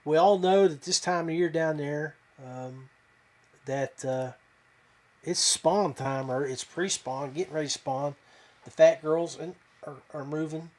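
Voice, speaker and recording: A man from West Virginia hosting a fishing podcast in a casual, folksy, halting way. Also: a little high, a little mellow. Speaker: podcast host.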